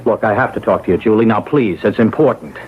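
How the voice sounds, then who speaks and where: An actor playing a defensive, halting husband who justifies himself in a 1970s radio drama. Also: male voice, on the low side, background noise, natural voice. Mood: pleading. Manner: halting, pleading, self-justifying. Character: desperate, defensive husband turned small-time counterfeiter. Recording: dull old radio drama broadcast.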